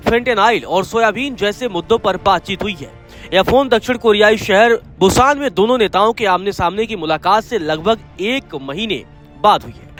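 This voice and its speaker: A man with a distinctly high-pitched voice narrates the news from a script. His delivery is formal and explanatory, in the manner of a presenter laying out a report. Music plays faintly behind him. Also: a bit bright. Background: background music.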